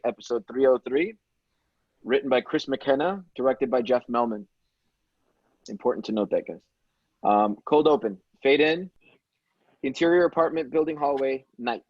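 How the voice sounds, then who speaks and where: A man's voice: medium pitch, normal speed. A man reading screenplay stage directions aloud as the narrator of an amateur table read. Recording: dull, roomy home audio.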